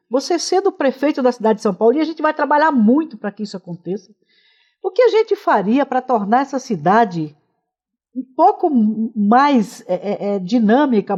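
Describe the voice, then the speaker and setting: A woman from Northeast Brazil hosting her channel and interviewing a guest, often halting and restarting her questions. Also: a little high, a little mellow. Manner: hesitant, with many fillers and restarts.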